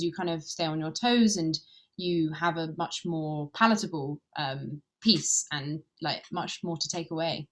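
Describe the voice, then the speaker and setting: A woman's voice: on the low side, fairly bright tone. A woman string quartet musician answering interview questions enthusiastically, peppered with fillers.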